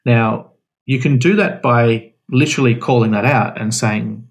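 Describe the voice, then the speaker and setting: A man with a gravelly, creaky voice and a middle pitch talks through advice in a relaxed, explanatory way. He restarts his sentences often as he thinks aloud in a casual podcast chat. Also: a little slow. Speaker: guest answering questions.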